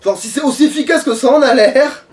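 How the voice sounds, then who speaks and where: A man's voice: extremely high pitch, brisk, recorded from a distance. A man chatting casually while playing a video game, talking colloquially and swearing often. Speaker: let's play gamer. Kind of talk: casual gaming chat.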